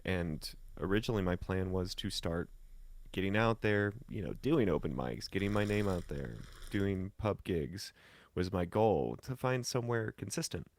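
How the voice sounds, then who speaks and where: A man from the United States talking casually as a guest, telling anecdotes in a laid-back, colloquial way with pauses mid-thought. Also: sits low, animated, no room sound. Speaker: guest musician and voice talent. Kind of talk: casual chat, personal anecdotes.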